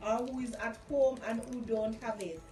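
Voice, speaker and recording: A woman's voice: fairly high pitch, fairly even intonation, moderate pace, dark tone. A woman in a casual faith chat, speaking in an emphatic, affirming way. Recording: echoey room, distant mic, music underneath.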